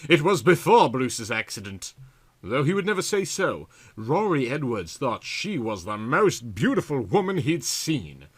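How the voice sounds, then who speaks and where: A man's voice: mid-range voice, very animated, unhurried, husky. A man reading a story aloud in a theatrical, comic style with exaggerated, melodramatic delivery. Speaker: audiobook reader.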